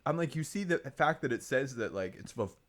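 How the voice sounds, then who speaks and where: A young man co-hosting a podcast in casual chat, animated and blunt, swearing and slipping into mocking quoted voices. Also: medium pitch, a little fast, a little hiss or hum. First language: not Mandarin. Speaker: podcast co-host.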